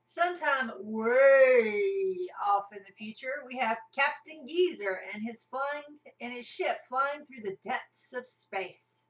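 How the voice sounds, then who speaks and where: A woman's voice: a little high, slow. A woman narrating a scripted comic radio play as part of an amateur cast. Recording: roomy, mic at a distance.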